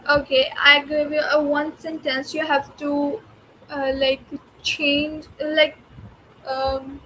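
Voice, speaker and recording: Pakistani woman, Urdu native, high voice, hesitant chatty learner with lots of fillers, faint background noise. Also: flat delivery, a bit bright, rough recording. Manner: hesitant, halting English full of fillers. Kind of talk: casual chat.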